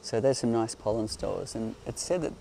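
A man calmly explaining beekeeping outdoors among hives, speaking in a gentle, explanatory way while hosting a live Q&A. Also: lowish voice, moderate pace, vocal fry, a little hiss or hum, poor audio. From Australia. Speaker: beekeeper host. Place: outdoors on a hillside among beehives. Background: faint outdoor ambience and buzzing bees.

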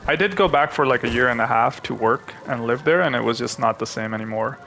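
Gravelly young man narrating gameplay. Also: medium pitch, quick pace, faint music behind, poor audio. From Pakistan. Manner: chatty, thinks aloud to viewers; excitable reactions. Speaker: gaming channel host. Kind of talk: live gameplay commentary.

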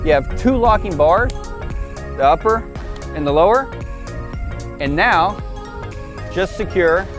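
A man in his forties talks through a hands-on product demonstration. His voice sits a little higher than average, and he gives plain instructions in short, casual phrases. Music plays clearly behind him. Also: lively intonation, slow pace, long pauses.

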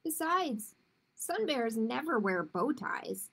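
Woman doing a cheery, sing-song sun bear, high and unhurried. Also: very animated, vocal fry, fairly bright tone, breathy edge, heavily acted.

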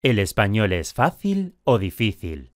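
A man speaking clearly articulated drill questions and statements in a language course. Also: fairly low pitch, wildly swooping pitch, a little slow, no room sound, studio quality.

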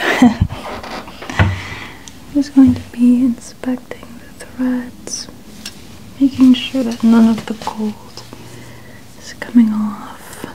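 A young woman whispering softly and breathily in an ASMR styling roleplay, gently narrating small actions as a stylist. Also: on the high side, fairly even intonation, takes it slowly, pauses often, a little hiss or hum, poor audio. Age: young adult. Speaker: ASMR host playing a dress stylist. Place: small indoor studio room. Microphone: small mic clipped at chest.

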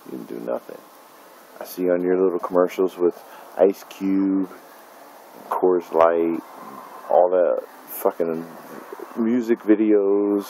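A man's voice: low-pitched, fairly even intonation, takes it slowly, warm tone, a little hiss or hum, rough recording. A middle-aged man, an independent filmmaker, filming himself in a dim room at night and ranting bitterly, with profanity and lots of fillers. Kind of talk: angry personal vlog rant. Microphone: phone camera held close.

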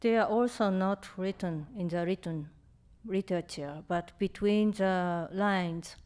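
A woman in her fifties from Japan with a Japanese accent, answering audience questions in English at a reading, halting and searching for words, on a stand mic. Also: mid-range voice, darkish tone, clean tone. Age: 50s. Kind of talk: live Q&A at a reading. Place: lecture room on stage. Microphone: stand mic in front.

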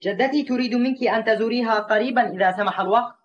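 A woman's voice: fairly high pitch, rapid-fire, dull audio, natural voice. A woman voicing a cheerful, simple young goatherd boy in an Arabic cartoon dub, artless and eager. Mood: friendly. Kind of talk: Arabic-dubbed cartoon acting.

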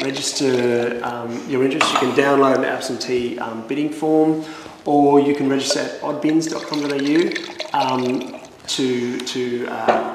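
Gravelly man in an echoey cellar. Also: medium pitch. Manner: offhand, chatty, fumbles and restarts. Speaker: wine promoter. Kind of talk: casual promotional chat. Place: indoor wine cellar with barrels. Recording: echoey roomy hall, faint noise.

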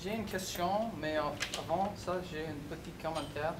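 A young man whose first language is English, speaking French with an English accent, haltingly searching for words as he comments from the floor of a lecture room. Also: fairly high pitch. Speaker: audience member. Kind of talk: hesitant question from the floor. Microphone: far camera. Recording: echoey room from far off, background noise.

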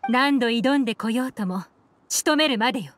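A woman's voice: on the high side, normal speed, a little hiss or hum, natural voice. A woman playing a cool, confident, shrewd female operative in an anime game, poised and teasing, over background music. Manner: poised, teasing, feminine phrasing.

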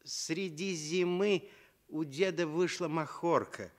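A man narrating a scripted fairy tale for Soviet radio in an expressive, deliberate manner. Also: on the high side. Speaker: storyteller.

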